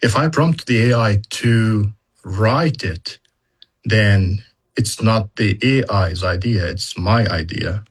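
Husky low-voiced man explaining. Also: slow pace. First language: not English. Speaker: university educator in data analytics. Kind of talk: explanatory interview conversation.

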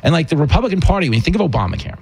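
A man hosting a comedic talk radio show, bantering in a jokey, animated way with a raspy voice. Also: fairly high pitch, racing. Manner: jokey, animated, slipping into mock dialogue.

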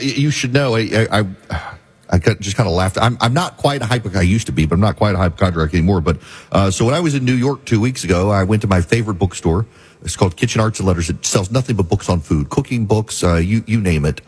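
Middle-aged male radio host, head cold, husky and a bit low, chatty, self-mocking. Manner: chatty and self-deprecating, with frequent restarts. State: head cold, slightly scratchy voice. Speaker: radio talk show host. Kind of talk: casual talk radio monologue.

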